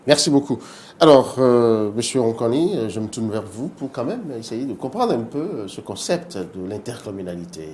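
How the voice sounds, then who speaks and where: A man's voice: medium pitch, big pitch swings, normal speed, hardly any pauses, darkish tone. A man with a Beninese French accent moderating a studio interview as a television host. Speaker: TV show host. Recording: clear background noise.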